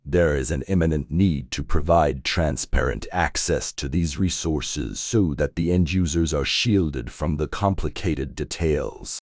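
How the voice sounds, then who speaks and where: Male reader for English test passages, extremely deep voice, dry recording. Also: measured pace, hardly any pauses, dry recording. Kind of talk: scripted read-aloud of exam practice passages.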